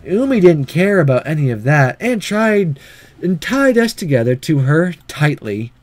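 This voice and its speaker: A man's voice: on the high side, very animated, unhurried. A man voicing a sleepy, detached schoolgirl who narrates a visual novel in a weary, blunt, matter-of-fact way. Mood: matter-of-fact, musing.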